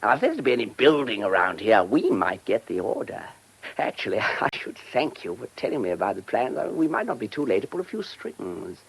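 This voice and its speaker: A self-satisfied English country-house owner in a radio drama. He is breezy and boastful, with a lively, swooping delivery and a creaky, rough-edged voice. His talk is full of chummy, moneyed slang. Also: on the low side, fairly quick, exaggerated voice, rough recording. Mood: smug, confiding. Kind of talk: British radio drama acting.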